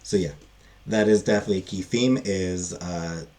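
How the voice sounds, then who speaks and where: A man giving a casual, unscripted book review, halting and thinking aloud with frequent pauses. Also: low voice, a little mellow.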